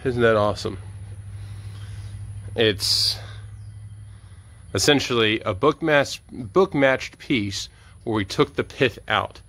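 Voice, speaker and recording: A man's voice: mid-range voice, slow pace, pauses often, a bit bright. A middle-aged man chatting casually and informally, thinking aloud as he narrates hands-on work at an open-air sawmill. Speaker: sawmill operator and vlogger. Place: outdoors at an open-sided sawmill shed and yard. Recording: noisy outdoor ambience, vehicle sounds.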